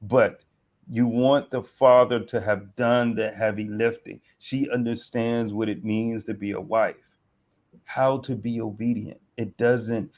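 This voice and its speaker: Man coaching with a lowish voice. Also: a little mellow. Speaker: host, coach. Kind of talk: casual discussion.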